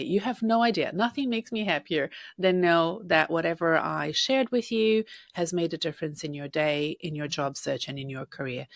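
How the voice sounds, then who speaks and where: Husky-voiced woman hosting a podcast. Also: low-pitched, no echo, studio quality. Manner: chatty, personal, addresses listener directly. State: husky from recent illness. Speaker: podcast host and career coach. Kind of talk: solo podcast monologue.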